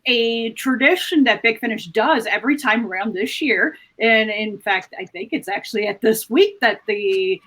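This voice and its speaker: A woman with a very bright, slightly high voice speaks as a podcast panelist. Her style is conversational and explanatory. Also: normal speed, few pauses. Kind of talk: casual explanatory panel chat.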